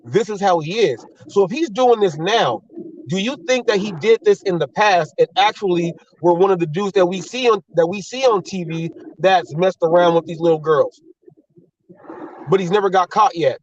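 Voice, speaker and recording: A man's voice: sits high, moderate pace, recorded from a distance, lo-fi sound. A man hosting a talk show, blunt and heated, swearing and repeating himself as he argues. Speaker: host.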